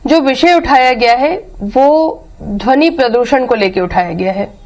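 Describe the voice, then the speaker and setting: A woman with an Indian accent answering in a measured way as a party spokesperson on a debate panel. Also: high voice, darkish tone.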